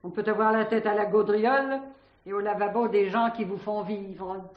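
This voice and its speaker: An actress playing a haughty, complaining general's daughter with a cutting manner, in a French 1970s stage thriller. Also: female voice, medium pitch, steady tone, moderate pace, dull audio, natural voice. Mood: spiteful, complaining. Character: snobbish, querulous unmarried general's daughter, easily outraged. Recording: roomy, echoing stage sound.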